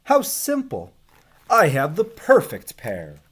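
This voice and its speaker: A man's voice: on the high side, wildly swooping pitch, slow, fairly bright tone, slightly rough, voice pushed up, heavily acted. An American man voicing a proud, eager travelling shoemaker from a storybook in a showy, declaiming manner. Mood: confident.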